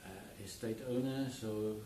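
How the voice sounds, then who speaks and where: A man from South Africa who speaks Afrikaans as his first language, talking about his wine estate in an unhurried, explanatory way with an accent. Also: lowish voice. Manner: unhurried, explanatory, with many fillers. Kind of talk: casual interview. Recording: roomy, distant mic, clear background noise.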